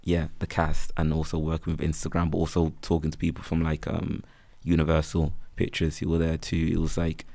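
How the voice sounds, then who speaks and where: A young man with a Birmingham accent, chatting in a relaxed, rambling way as an interview guest. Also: extremely low pitch, fairly quick, vocal fry, no room sound. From England. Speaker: guest, freelance photographer. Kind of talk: casual interview chat.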